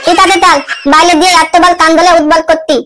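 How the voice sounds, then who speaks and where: A man's voice: extremely high pitch, level tone, quick pace, sharp tone, slight background noise. A man voicing a cheeky, foul-mouthed cartoon schoolboy, whiny and defiant, with childish mispronunciations. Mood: pleading. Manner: whining, pleading, childish mispronunciations. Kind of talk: amateur cartoon comedy dub.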